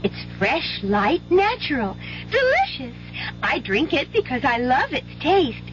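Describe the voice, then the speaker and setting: Goldilocks type: cutesy, cheerful, unhurried, precise radio ad patter, dull vintage broadcast sound. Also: female voice, high voice, big pitch swings, a little hiss or hum. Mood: perky, pleased. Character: Goldilocks, prim cheerful young woman taste-tester in a fairy-tale ad. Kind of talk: 1970s radio commercial acting.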